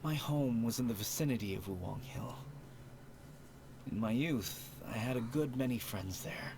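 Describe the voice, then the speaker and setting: A troubled older man from a video game, played by an actor, speaks at a medium pitch. He is wistful and halting, and there is clear noise behind him. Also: close to an everyday voice. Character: troubled elderly man, wistful, mourning an old friend. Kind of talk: video game character acting.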